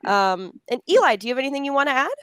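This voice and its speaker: A woman's voice: high voice, lively intonation, rapid-fire, a bit bright, smooth. A woman moderating a panel discussion in a conversational, affirming and encouraging way.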